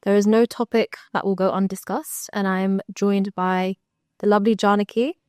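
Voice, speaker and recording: A woman's voice: medium pitch, steady tone, moderate pace, darkish tone, clean tone, dry recording, clean studio sound. Bubbly young woman hosting a podcast. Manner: bubbly, chatty interviewer. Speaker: podcast host. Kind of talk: casual podcast chat.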